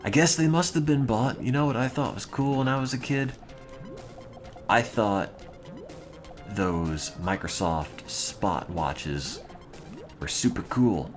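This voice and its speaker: A man's voice: mid-range voice, slow, pauses often. A young adult man streaming and answering his chat in a dry, rambling, offhand way. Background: music playing.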